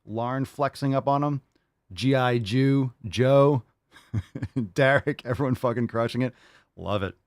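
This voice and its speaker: A man's voice: mid-range voice, unhurried, long pauses, a little mellow. A man with an ordinary, mid-range voice hosts a fitness podcast, hyping up his listeners with plenty of swearing. The sound is dry and close, with no room echo. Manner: hyped, profane, encouraging. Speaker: podcast host. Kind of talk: casual podcast chat reading listener posts.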